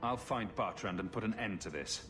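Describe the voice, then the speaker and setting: A man's voice: on the low side, lively intonation, moderate pace, music in the background, lightly acted. A man playing a resolute, businesslike hero in a video game, speaking in a direct, serious manner. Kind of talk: video game dramatic acting.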